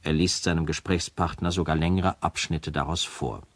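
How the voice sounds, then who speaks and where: A man's voice: a bass voice, steady tone, normal speed, no echo. An actor playing a sober narrator in a radio play, a man reporting formally and matter-of-factly as in a documentary. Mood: sober, factual. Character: sober male narrator presenting a recorded conversation as evidence.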